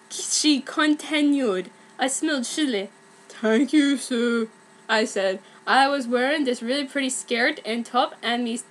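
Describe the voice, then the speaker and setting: A woman's voice: high voice, bright, forceful tone. A woman giving a mock-dramatic, theatrical amateur reading of a story. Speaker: reader of fan fiction.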